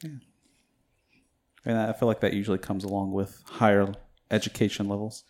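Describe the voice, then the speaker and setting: Hesitant young man, fairly low voice. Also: flat delivery, moderate pace, a little mellow. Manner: hesitant, rambling, lots of fillers. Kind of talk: casual chat among friends.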